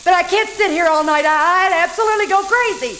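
Frantic old woman, very high-pitched. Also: fairly bright tone. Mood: insistent pleading. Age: older. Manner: breathless moaning, halting repetitions, melodramatic pleading. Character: frantic, pleading, menacing old woman stranded in the rain. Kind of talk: old-time radio suspense acting. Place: live performance at a winery. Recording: echoey, noisy live stage recording.

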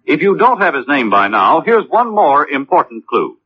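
A man's voice: on the high side, very animated, moderate pace, a little hiss or hum. A man reading scripted narration as a vintage radio announcer, in a declamatory manner. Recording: muffled old radio broadcast.

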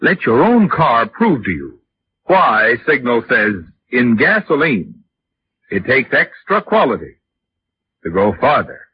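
Animated male vintage radio announcer. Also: mid-range voice, takes it slowly, husky. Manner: persuasive, conversational. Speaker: announcer, commercial pitchman. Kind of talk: 1940s radio commercial. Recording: dull, faintly noisy vintage radio.

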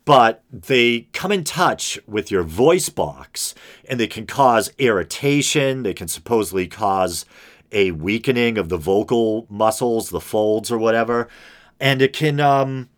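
A middle-aged man hosting a podcast alone, talking in a low, droning, semi-gravelly voice, rambling and self-deprecating with many ums and restarts. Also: expressive, unhurried. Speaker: podcast host. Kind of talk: casual solo podcast monologue.

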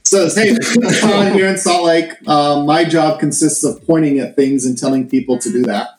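A man's voice: fairly high pitch, moderate pace, soft background music. A man joking and teasing as a podcast co-panelist. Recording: echoey room.

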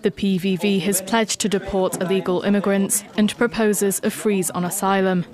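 A woman's voice: medium pitch, little pitch movement, moderate pace, darkish tone. Woman reading a scripted news report.

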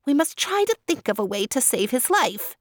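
A woman reading a storybook who voices a quick-witted female crow, speaking urgently and eagerly. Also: sits high, very animated, dry recording, studio quality, pitched above the performer's own voice.

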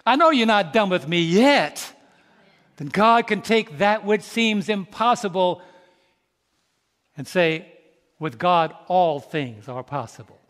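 A man in his fifties preaching a sermon, quizzing the congregation with questions and swinging from soft, slow reflection to loud, rising exhortation. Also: sits high, lively intonation. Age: 50s. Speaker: pastor. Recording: long reverb in a large hall.

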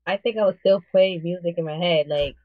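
A woman's voice: fairly low pitch, fairly quick, dry recording. A woman co-hosting a podcast, telling casual anecdotes in a loose, informal way over a narrow, tinny connection. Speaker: podcast co-host. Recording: narrow, tinny phone-like line.

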